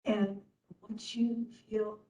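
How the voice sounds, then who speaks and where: A woman leading a guided meditation as instructor, speaking gently in short phrases with long pauses. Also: mid-range voice, level tone, dull audio.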